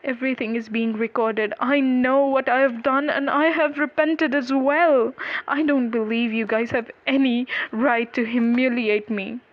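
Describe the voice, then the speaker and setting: A woman narrator playing an anguished male defendant in a dark story, pleading and exasperated as he justifies himself. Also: very high-pitched, measured pace, lo-fi sound, voice pushed up. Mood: defensive, exasperated.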